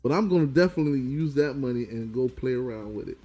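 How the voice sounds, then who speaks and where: A man's voice: mid-range voice, animated, fast, dark tone, soft background music. A man co-hosting a relationship podcast in casual chat, pressing his point and repeating it. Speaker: podcast co-host.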